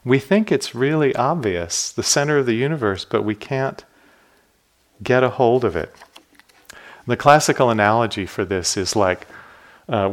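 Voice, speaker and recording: A meditation teacher with a fairly low voice leads a dharma talk at a retreat center. He speaks calmly and reflectively and keeps turning questions back to his listeners.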